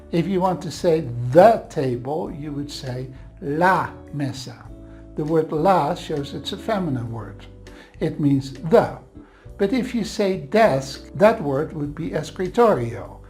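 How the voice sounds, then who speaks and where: Dutch-accented man teaching Bible grammar. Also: high voice. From Netherlands. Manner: earnest, explanatory, reassuring asides.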